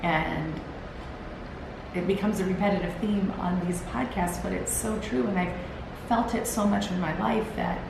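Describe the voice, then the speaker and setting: A tired woman with a gravelly, slightly low voice talks earnestly to a camera on a timer. She is encouraging and rambles along, restarting her sentences. The room echoes strongly, with a steady hiss underneath. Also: moderate pace. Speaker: host. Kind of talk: solo motivational talk.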